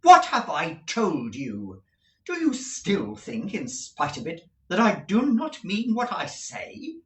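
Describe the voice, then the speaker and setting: A man reading aloud, voicing a cold, proud young woman who speaks calmly and without feeling. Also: on the high side, pitched above the performer's own voice, heavily acted. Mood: cold, measured. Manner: cool, measured, unmoved. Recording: roomy echo.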